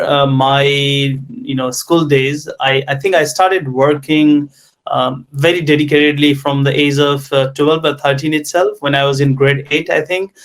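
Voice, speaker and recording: A man with a Nepali accent, a tech entrepreneur in his thirties, telling his life story in an interview with many pauses and fillers. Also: mid-range voice. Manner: hesitant, many ums and you knows.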